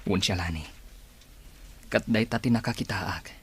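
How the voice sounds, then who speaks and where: A man's voice: on the low side, measured pace, a bit bright, slightly rough, exaggerated voice. A man playing an earnest young suitor, hesitant and sincere, in an Ilocano audio drama.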